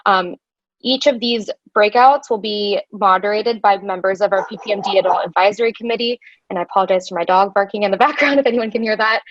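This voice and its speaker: A woman's voice: medium pitch, fast, dry recording. A woman presenting a webinar over slides, talking chattily with frequent ums into a computer mic. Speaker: conference organizer and webinar presenter. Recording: dull, dry lo-fi call audio.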